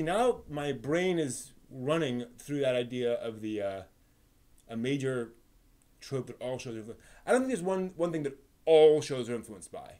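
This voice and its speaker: A man hosting a casual live stream, rambling analytically as the host, often halting and repeating words while thinking aloud. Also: medium pitch, a little mellow. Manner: analytical, explanatory.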